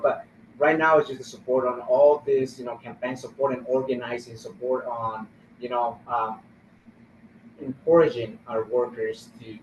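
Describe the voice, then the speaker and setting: A man speaking informally on a webinar as a community organizer, halting and searching for words. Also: mid-range voice, a little mellow. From Guatemala. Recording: roomy, distant, muffled webinar audio with noise.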